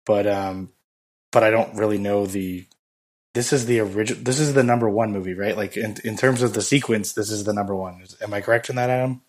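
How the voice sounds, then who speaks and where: Hoarse low-voiced man, rambling. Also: fairly even intonation. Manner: rambling, halting with many fillers. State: hoarse, losing his voice. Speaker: podcast co-host. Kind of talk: casual chat.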